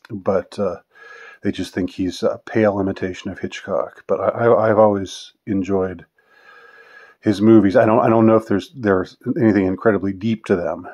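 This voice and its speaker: A man in his fifties with a fairly low voice talks casually as a vlogger taking questions. He speaks off the cuff in a halting, rambling way, often letting a thought trail off before picking it up again. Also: darkish tone, slightly rough. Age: 50s. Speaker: vlogger answering viewer questions. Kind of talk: casual unscripted question-and-answer monologue.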